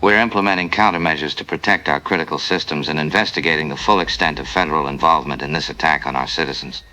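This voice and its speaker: A man's voice: extremely low pitch, quick pace, bright, forceful tone, low-quality recording. A man playing a stern, accusatory breakaway coalition leader giving a formal statement in an audio drama. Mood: defiant, accusatory.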